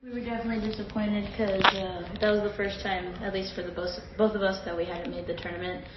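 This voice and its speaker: A woman's voice: mid-range voice, little pitch movement, quick pace. A young woman in her twenties, a college soccer player, answering in a subdued, hesitant way. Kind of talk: interview answer. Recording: distant, echoey, muffled with crowd noise.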